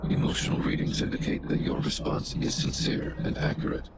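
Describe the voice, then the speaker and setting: A man voicing a big, polite, impassive robot in a video game, speaking formally through a robotic filter. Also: very noisy. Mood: flat, formal. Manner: formal, measured, emotionless. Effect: robotic synthetic voice filter. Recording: game music behind. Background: game music.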